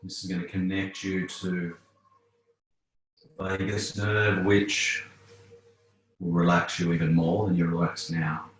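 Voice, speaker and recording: Male yoga teacher, deep voice, calm guided-relaxation delivery with long pauses, recorded in a very reverberant room. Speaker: yoga instructor. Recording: very echoey room.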